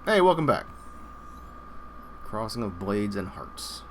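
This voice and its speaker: A man's voice: lowish voice, sing-song, slow, a little hiss or hum. A man livestreaming a game, reacting and chatting casually in an offhand, joking, irreverent way.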